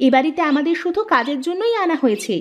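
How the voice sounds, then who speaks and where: A woman playing a put-upon young daughter-in-law in a cartoon, expressive and sharp-tongued, prone to sarcastic complaint. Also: high-pitched, fast talker, highs cut off. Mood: aggrieved, sharp. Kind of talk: cartoon voice acting, fairy-tale drama.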